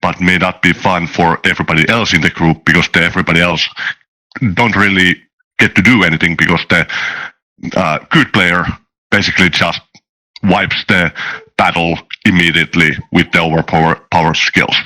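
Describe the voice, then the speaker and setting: A man talking casually as a game developer, in halting, stop-and-start phrases. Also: low voice, fairly even intonation, sharp tone, low-quality recording. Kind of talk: casual chat.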